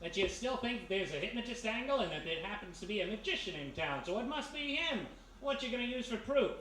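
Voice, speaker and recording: A woman's voice: medium pitch, lively intonation, a little fast, a bit bright, far from the mic, natural voice. On a roomy live recording with faint noise, a woman plays a doubting American private eye, a reformed crook, in a vintage radio mystery. The delivery swings up and down, full of slangy wisecracks. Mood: skeptical. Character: skeptical male ex-thief turned private detective. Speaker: actor. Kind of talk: 1940s-style radio detective acting, live. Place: live performance in a historic house.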